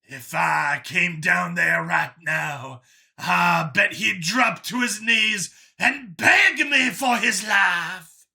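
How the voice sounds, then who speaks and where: A man voicing an arrogant, taunting alien demagogue preacher in an audio story, with bombastic, drawn-out oratory. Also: sits high, lively intonation, gravelly, sharp tone, pitched above the performer's own voice, heavily acted. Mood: contemptuous, taunting. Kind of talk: audio story character, ranting speech.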